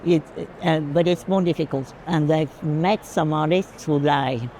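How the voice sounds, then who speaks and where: A woman's voice: low voice, unhurried, mellow. A woman discussing art casually, stating firm opinions. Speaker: curator and collection advisor. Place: busy art fair. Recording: clear urban ambient noise.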